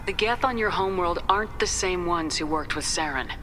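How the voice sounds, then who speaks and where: An actress playing a direct, controlled human military commander in a sci-fi video game. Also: female voice, on the low side, animated, fairly quick, noisy background, natural voice. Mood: diplomatic. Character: composed, direct human female space commander. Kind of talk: video game dialogue acting. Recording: loud music behind the voice. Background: music.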